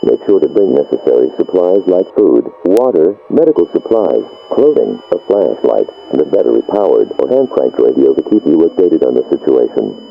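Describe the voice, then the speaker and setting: Very deep, gravelly male emergency announcer. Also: sing-song, normal speed. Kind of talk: scripted emergency broadcast announcement. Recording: muffled lo-fi broadcast, faint music behind.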